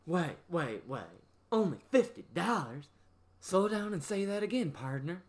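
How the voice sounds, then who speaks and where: A woman's voice: fairly low pitch, very animated, measured pace. A woman voicing a folksy, easily astonished sidekick in a comic radio ad.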